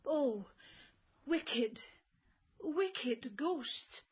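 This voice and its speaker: Slow, eerie, intense lady. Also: high voice, expressive, highs cut off, heavily acted. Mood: haunted. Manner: measured, dramatic, formal. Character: eerie, intense lady who speaks to spirits. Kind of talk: radio drama acting.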